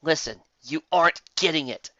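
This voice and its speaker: Someone doing an exasperated female unicorn pony, raised pitch, bright forceful tone, emphatic, flustered, storybook dialogue, slightly dull sound. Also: no echo, lightly acted. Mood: annoyed. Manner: emphatic, halting when flustered. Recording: slightly dull home recording.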